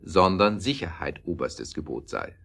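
A man's voice: low voice, animated, normal speed, vocal fry, a bit bright, exaggerated voice. A man narrating a scripted historical radio play as its storyteller.

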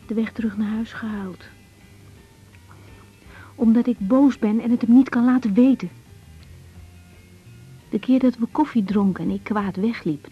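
A woman's voice: mid-range voice, slightly rough. An actress playing an earnest, wounded young female doctor in a radio drama, emotionally open and introspective. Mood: angry, sad. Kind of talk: naturalistic radio drama acting, diary-like monologues. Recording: dull radio recording with music.

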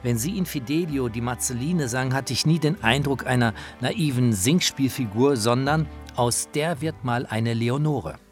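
A man's voice: mid-range voice, moderate pace, hardly any pauses, over music. A man narrates a radio documentary in a personal, informed way, as its presenter.

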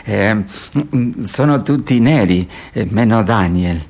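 A man playing a hesitant, bewildered narrator of a sea journey in a poetic radio drama, halting between phrases. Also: on the low side, animated, gravelly, darkish tone, full character voice. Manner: hesitant, halting, starting with interjections. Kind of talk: poetic radio theatre acting.

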